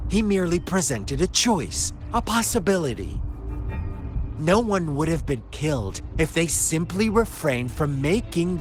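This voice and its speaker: An actor voicing a theatrical, menacing video game villain who tells dark parables in a playful, taunting way with drawn-out phrasing. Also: male voice, a little high. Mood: coolly explaining. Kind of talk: dramatic video game voice acting.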